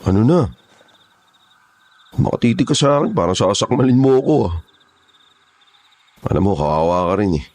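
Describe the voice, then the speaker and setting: An actor playing a rough kidnapper's henchman in a horror audio drama, colloquial and easily rattled. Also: on the low side, big pitch swings, moderate pace, pauses often, darkish tone. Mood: taunting. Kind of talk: dramatised horror story acting.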